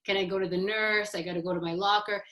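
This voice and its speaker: A woman's voice: mid-range voice, steady tone, quick pace, pushed and bright. A woman from the Boston area speaking as an author on a panel, conversational, stressing points by repeating phrases. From Boston area, United States.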